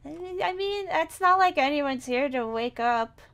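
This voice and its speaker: A woman's voice: sits high, lively intonation, moderate pace, a bit bright, highs cut off. A woman playing a playful, carefree young survivor in a Minecraft roleplay, talking in a casual, bubbly, impulsive way.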